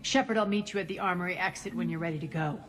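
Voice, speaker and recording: A woman's voice: fairly low pitch, racing, slight background noise, close to an everyday voice. An actress playing a ruthless female crime boss in a video game, commanding and clipped with a cool sardonic edge. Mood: irritated, determined. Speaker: gang leader and commander. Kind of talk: video game cutscene acting.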